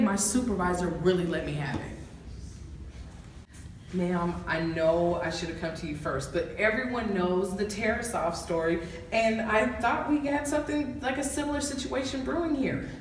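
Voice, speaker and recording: A woman's voice: mid-range voice, normal speed, close to an everyday voice. Echoey, distant earnest woman counselor played by an actress. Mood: defensive, earnest. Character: earnest woman college counselor, principled, indignant, testifying. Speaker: actor in an amateur student film. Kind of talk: amateur courtroom drama dialogue. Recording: echoey, distant, noisy room.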